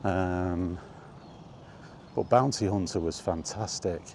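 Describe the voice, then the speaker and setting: A man hosting a casual, rambling chat, thinking aloud with hesitant pauses. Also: deep voice, creaky, darkish tone. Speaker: video host reminiscing about games. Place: on the move, walkie talkie vlog. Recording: faint ambient background noise.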